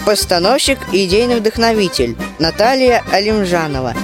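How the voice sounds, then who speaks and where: A woman's voice: mid-range voice, big pitch swings, normal speed. A woman reading scripted cast credits as announcer. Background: loud music.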